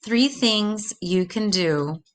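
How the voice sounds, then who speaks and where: An older woman reading a rhymed children's religious story aloud in a measured, deliberate way. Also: medium pitch, expressive.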